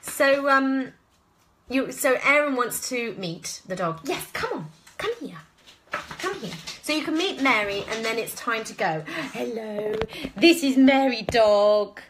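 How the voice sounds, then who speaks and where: A woman's voice: on the high side, expressive, unhurried, fairly bright tone, slight background noise. A British woman chatting casually in a live Q&A at home, rambling and self-deprecating as she goes. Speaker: actress and voice actor answering viewer questions. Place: at home, indoors.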